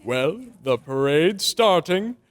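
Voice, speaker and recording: A man's voice: a little high, lively intonation, slow, heavy background noise. A man playing an effusive, deferential department store publicity man in an old-time radio drama, gushing and exclamatory, in a reverberant hall. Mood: gushing, excited.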